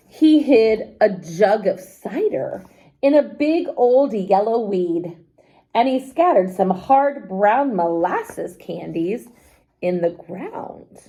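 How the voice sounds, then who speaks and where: A woman reading a children's story aloud expressively, pausing to explain things simply to young listeners. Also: medium pitch. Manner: animated, unhurried, explains words simply to children.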